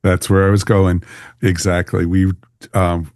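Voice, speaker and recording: A man's voice: low voice, level tone, unhurried, a little mellow, no room sound, clean studio sound. A man hosting a podcast in a casual, jokey, informal way. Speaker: podcast host.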